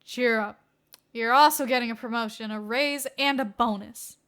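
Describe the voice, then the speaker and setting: A woman puts on a tired police chief in an audio story. He is placating and pleading, with a bright edge to the voice. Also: a little high, normal speed, clear, resonant tone, natural voice. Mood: weary, coaxing.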